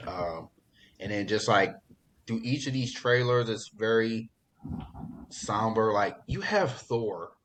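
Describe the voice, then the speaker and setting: A man's voice: fairly low pitch, slow pace, slight background noise. Casual, slangy man chatting on a podcast. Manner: slangy, profane, excitable. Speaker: podcast host. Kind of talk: casual pop culture chat.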